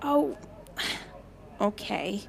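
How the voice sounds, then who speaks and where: A woman's voice: medium pitch, very slow, vocal fry, full character voice. A woman acting a shy, self-doubting, sweet young seer in an audio drama, timid and hesitant. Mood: nervous.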